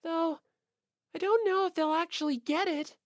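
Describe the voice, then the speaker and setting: A woman's voice: extremely high pitch, moderate pace, dry recording, clean studio sound, pitched above the performer's own voice. A woman voicing a sweet, shy teenage idol girl for an audiobook, earnest and hesitant as she gushes. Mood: apologetic.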